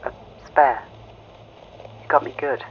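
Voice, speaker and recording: A man voicing a wounded young female imperial mech pilot, prickly and anxious, in a clipped, halting way over a radio filter for an audio drama. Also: narrow, tinny audio. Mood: anxious, hurting. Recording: fuzzy band-limited radio with faint noise.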